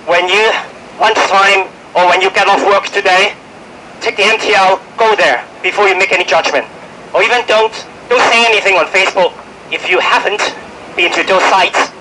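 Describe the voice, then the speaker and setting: A man's voice: sits high, bright, forceful tone, low-quality recording. A man with a Hong Kong English accent, sick with a cold, giving an urgent, pleading statement at a press conference with many fillers and restarts. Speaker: finance worker speaking at a press conference. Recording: outdoor press event with faint street noise.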